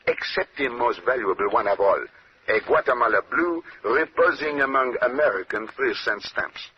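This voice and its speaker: A man's voice: medium pitch, moderate pace, close to an everyday voice. Courtly, brilliant Belgian detective male actor, French-speaking accent, theatrical and precise; vintage radio drama, muffled and hissy. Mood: confident, explanatory. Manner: precise, lecturing, punctuates points with questioning "eh?". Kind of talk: old-time radio drama acting. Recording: dull, noisy old radio recording.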